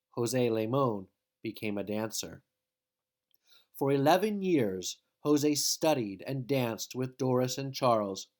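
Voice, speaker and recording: A man reading a children's picture book aloud in an expressive, dramatic storytelling style. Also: mid-range voice. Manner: dramatic, with emphatic exclamations.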